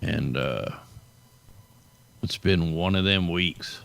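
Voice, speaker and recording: A man with a very low, gravelly voice talks casually through a weather commentary. He sounds tired and hoarse, as if his voice is giving out. The sound is close and dry, with no room around it. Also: takes it slowly, a bit bright, dry recording. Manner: loose, conversational, drawls "man" at line ends. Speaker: show host. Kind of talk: casual weather commentary over satellite maps.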